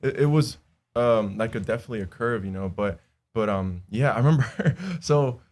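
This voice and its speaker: Podcast guest: twenties man from Honduras, native Spanish speaker, loose and chatty, mid-pitched voice. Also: moderate pace, a little mellow. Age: 20s. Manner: chatty, laughs often, many fillers. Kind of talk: casual podcast chat.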